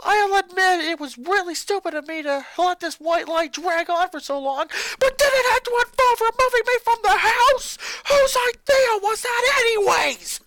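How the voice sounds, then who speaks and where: A woman voicing an angry, resentful young boy who rants and shouts at his family. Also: very high voice, lively intonation, moderate pace, few pauses, dry recording, voice pushed up, exaggerated voice. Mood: furious. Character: furious boy, eleven-year-old, bitter and resentful.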